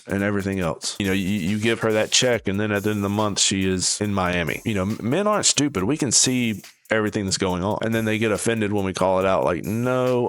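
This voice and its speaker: A man's voice: on the low side, a bit bright, smooth. A man giving casual, opinionated reaction commentary as a video host, in a sardonic, dismissive manner. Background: background music.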